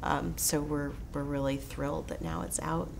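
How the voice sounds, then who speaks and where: A woman's voice: low voice, flat delivery, normal speed, gravelly, heavy background noise. An enthusiastic American woman from Texas, a documentary filmmaker talking conversationally as a festival interview guest. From Texas, United States.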